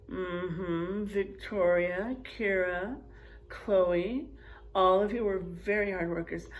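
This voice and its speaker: A woman teacher reading aloud and chatting to young children in an expressive, encouraging, teacherly way. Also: mid-range voice. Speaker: first grade teacher. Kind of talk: picture book read-aloud and chat to young pupils.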